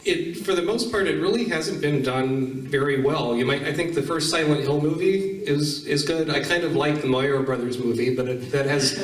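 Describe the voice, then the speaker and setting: A man's voice: on the high side, moderate pace, few pauses, distant mic. A man hosting a live panel, talking casually to the room at a convention. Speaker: panel host. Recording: roomy hall, picked up from afar.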